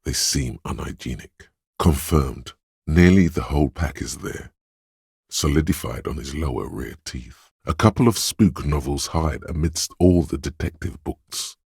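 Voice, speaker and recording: A very deep, gravelly, husky male voice speaks as the hero's watchful inner perception in a video game. The delivery is calm and measured, noting small details one at a time. The recording is dry and close. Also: no echo, exaggerated voice. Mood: observant. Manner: measured, observant, softly descriptive delivery. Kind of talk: second-person scripted game narration.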